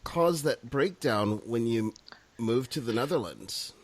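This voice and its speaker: A man hosting a podcast interview, talking in a relaxed way with drawn-out phrasing and restarts. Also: medium pitch. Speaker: podcast host. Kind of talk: casual interview.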